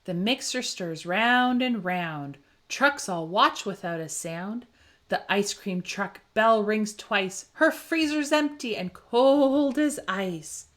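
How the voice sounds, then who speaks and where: A woman reading a children's picture book aloud with expressive, rhythmic phrasing and dramatic emphasis for young listeners. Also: medium pitch, slow pace. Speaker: children's story reader.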